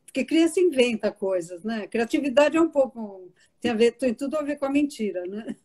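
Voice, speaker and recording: An older woman, born in Italy, tells childhood stories in a loose, chatty way. Her voice sits a little high for a woman, and she laughs easily and lets sentences trail into repeats. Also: animated, a little fast, a little mellow. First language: Italian. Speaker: guest author. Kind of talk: relaxed conversational interview.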